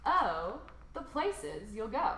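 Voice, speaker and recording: A woman with a fairly high, very lively voice reads a rhyming picture book aloud to children. She leans into the rhymes with theatrical emphasis, stretching words for drama. The recording has a clearly roomy echo. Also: slow, slight background noise. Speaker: storybook reader.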